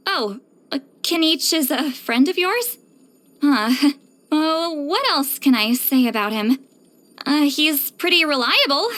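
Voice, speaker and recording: A woman's voice: high-pitched, fairly bright tone, heavily acted. A woman voicing a reclusive, grumbling shaman with a youthful voice in a video game, hesitant, self-conscious and dryly exasperated. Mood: awkward, puzzled. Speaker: video game character voice actress. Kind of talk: scripted game character monologue.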